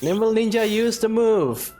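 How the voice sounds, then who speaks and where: Male let's player: casual, teasing commentary, lively intonation over faint background music. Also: high voice, normal speed. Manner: joking, teasing asides. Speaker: gaming video creator.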